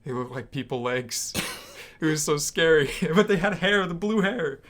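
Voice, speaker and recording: A young man co-hosting a student podcast, talking casually about movies with animated, hyperbolic reactions. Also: on the high side, moderate pace. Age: young adult. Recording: noticeable background room noise.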